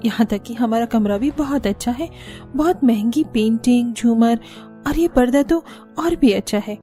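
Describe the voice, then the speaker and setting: A woman's voice: fairly high pitch, moderate pace, dark tone, soft background music, lightly acted. A woman voicing a sweet, obedient young newlywed daughter-in-law in a Hindi family cartoon, speaking meekly and politely. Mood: sweet, pleased. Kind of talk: Hindi moral-story cartoon dubbing.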